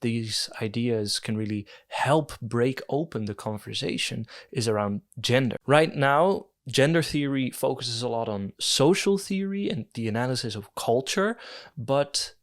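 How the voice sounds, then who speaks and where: A man's voice: medium pitch, animated, measured pace. A young adult man with a Dutch accent, talking through a philosophy video essay in a casual, musing way, with some fillers and self-deprecating asides.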